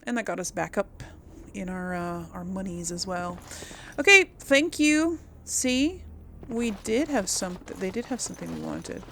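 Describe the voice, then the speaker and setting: A woman's voice: mid-range voice, animated, measured pace, creaky, bright, forceful tone, slight background noise. A woman giving casual, chatty gameplay commentary as host, musing aloud and often trailing off. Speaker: gaming video host.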